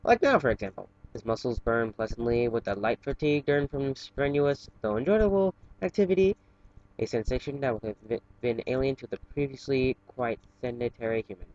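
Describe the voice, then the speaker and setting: Low-voiced man reading, dull lo-fi recording. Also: animated, a little fast, darkish tone. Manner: informal, stumbles over words. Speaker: fan fiction reader for an online channel. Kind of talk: amateur story reading with casual asides.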